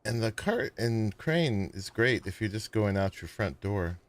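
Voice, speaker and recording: A man casually chatting to viewers as he plays and builds in a survival game, with a sleepy voice and a relaxed, rambling manner. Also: lowish voice, measured pace. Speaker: gaming streamer. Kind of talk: casual gameplay commentary.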